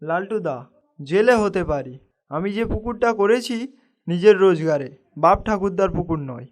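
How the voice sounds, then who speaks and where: A man's voice: sits high, animated, normal speed. A man voicing a humble, hardworking young village fisherman in a cartoon, speaking in an earnest, modest way. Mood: earnest, protesting. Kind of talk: cartoon dialogue acting.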